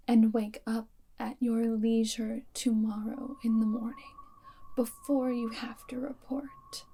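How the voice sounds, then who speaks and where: An aloof vampire girlfriend and Resistance spy played by a woman gives hypnotic orders in a breathy, half-whispered ASMR voice. Her tone is high but mellow and held very even, with deliberate phrasing. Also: heavily acted. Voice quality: soft, breathy, often whispered. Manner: slow, deliberate, hypnotic instructing. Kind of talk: ASMR roleplay.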